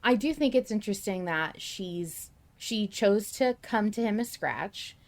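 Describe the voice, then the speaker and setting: Woman in an enthusiastic, expressive podcast chat. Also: medium pitch, measured pace. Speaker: podcast co-host. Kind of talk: casual fan discussion of an audio drama.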